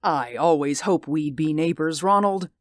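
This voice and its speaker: An actor playing a bitter, vengeful middle-aged stage actor in an old radio drama, theatrical and gloating, dropping into quiet menace. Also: male voice, fairly high pitch, unhurried, dry recording, studio quality, close to an everyday voice. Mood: menacing. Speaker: villain. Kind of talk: 1940s radio acting.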